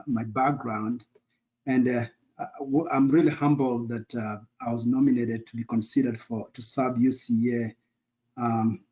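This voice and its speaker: A middle-aged man from Kenya speaks with a Kenyan accent and a dark, mellow tone at middling pitch. He answers interview questions earnestly, pausing now and then to search for his words. The audio is dull and lo-fi. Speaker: interview guest, professor.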